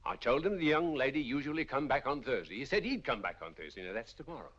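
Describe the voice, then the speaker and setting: A chatty, deferential auctioneer with a husky voice. He talks quickly and eagerly, with a lively lilt. The sound is dull and lo-fi, like an old 1940s film. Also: mid-range voice. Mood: obliging. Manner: deferential, says sir. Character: obliging, chatty auctioneer, a man.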